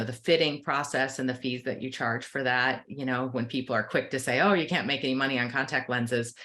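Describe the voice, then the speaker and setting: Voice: medium pitch, pushed and bright. An optometrist with an American accent who owns a practice, explaining things earnestly in a conversational interview. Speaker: optometrist and practice owner, podcast guest.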